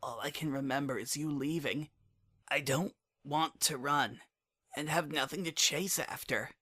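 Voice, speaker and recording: Brash young female pegasus pony, played by a man, raised pitch, desperate, grief-stricken, for dramatic fiction audio. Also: a little slow, sharp tone. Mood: grieving, pleading. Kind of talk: dramatic fan fiction reading.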